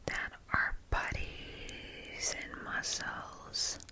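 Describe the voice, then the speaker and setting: A woman whispering breathily as an ASMR host, gently guiding relaxation and repeating words and phrases. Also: drawn-out words.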